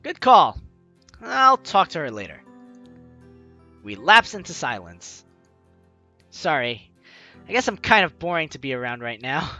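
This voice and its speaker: Male let's play host, expressive game-text narration, higher-pitched and very forceful, faint music underneath. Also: a little slow, long pauses, muffled recording. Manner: expressive, reacts with enthusiasm. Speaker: gaming video host. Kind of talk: animated let's play, reading game text aloud dramatically.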